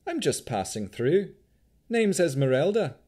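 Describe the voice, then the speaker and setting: A jaunty female adventurer from a fantasy tale, acted out by a man. She speaks in a fantasy accent with a lifted, lively pitch. Her manner is breezy, frank and self-assured. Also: unhurried, breathy edge, exaggerated voice. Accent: Vistani-style fantasy accent.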